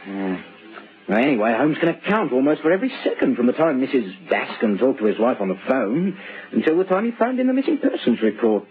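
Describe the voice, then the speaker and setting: An actor playing a dogged, suspicious police sergeant in an old radio drama, skeptical and conversational in delivery. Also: male voice, mid-range voice, very animated, brisk, phone-quality sound, faint music behind, close to an everyday voice. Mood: skeptical, grudging. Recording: thin, hissy old radio recording.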